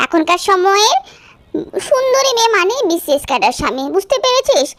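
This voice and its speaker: A woman's voice: very high voice, sing-song, brisk, fairly bright tone, no echo, exaggerated voice. A woman voicing a vain, money-minded teenage bride in a cartoon, speaking in a boastful, haughty way. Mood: smug, boastful. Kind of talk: cartoon voice acting.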